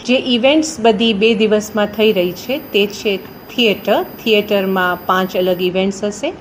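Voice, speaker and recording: A woman's voice: medium pitch, moderate pace, a little hiss or hum. A woman giving a semi-prepared event report, hesitating between items and mixing in English terms.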